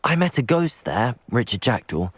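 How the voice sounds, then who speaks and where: A man's voice: mid-range voice, big pitch swings, normal speed, breathy edge, dry recording. An actor playing a polite, curious young male wizard student in a video game, with an earnest manner. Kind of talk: video game character acting.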